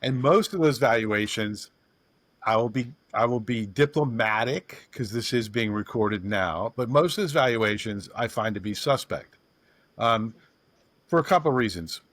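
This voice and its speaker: A man's voice: medium pitch, expressive, normal speed, a bit bright, no echo. A man hosting a podcast, talking bluntly and with emphatic energy. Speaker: podcast host. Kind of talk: casual podcast chat.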